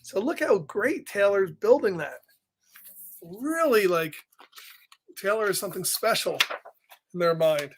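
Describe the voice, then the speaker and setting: Slow-paced, high-voiced man reading a storybook. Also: expressive, background noise. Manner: chatty, exclamatory, asks listeners questions. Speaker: children's story reader and host. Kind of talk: live picture-book read-aloud with casual asides.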